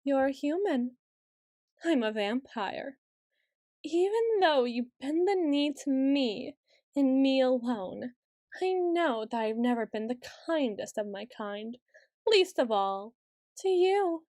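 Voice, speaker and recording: A woman's voice: high voice, animated, slow, a little mellow, no echo, clean studio sound. A woman playing a haughty, petulant ancient female vampire in a scripted audio roleplay, speaking in a theatrical, imperious and archly formal way. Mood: brooding, haughty. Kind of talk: scripted ASMR roleplay monologue.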